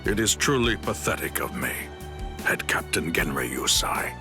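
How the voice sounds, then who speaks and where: An actor playing an honorable, loyal warrior captain in an anime game, speaking in a grave, formal, earnest and sorrowful way. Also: male voice, deep voice, very animated, unhurried, over music. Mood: conflicted, sorrowful. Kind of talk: scripted anime game cutscene dialogue.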